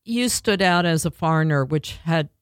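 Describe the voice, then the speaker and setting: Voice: medium pitch, moderate pace, clear, resonant tone, dry recording, clean studio sound. A podcast host asking casual questions and offering frequent short affirmations. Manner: chatty, lots of backchannel affirmations. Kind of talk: casual interview.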